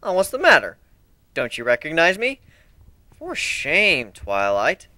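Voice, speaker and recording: A man's voice: a little high, huge pitch swings, unhurried, pushed and bright, no echo, exaggerated voice. A man voicing a cocky, teasing young pirate in an amateur dramatic reading, smug and snarky as he explains himself.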